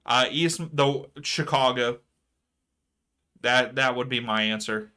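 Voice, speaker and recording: A man hosting a live soccer watchalong stream, chatting casually with viewers and ranting about fouls. His voice is going hoarse. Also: mid-range voice, takes it slowly, pushed and bright. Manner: chatty, reads chat aloud, rants about fouls. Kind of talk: casual live match commentary.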